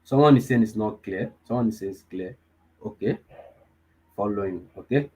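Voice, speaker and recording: A man's voice: fairly low pitch, normal speed, a little mellow, breathy edge. A man, teaching a live online data class, repeating himself and often checking whether listeners follow. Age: 20s. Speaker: data analysis instructor.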